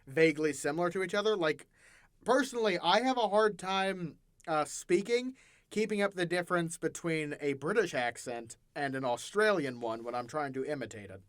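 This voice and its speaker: A man's voice: fairly high pitch, animated, normal speed, no echo. A young man hosting a casual chat, talking with many restarts and laughing often. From United States. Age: young adult. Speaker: podcast host.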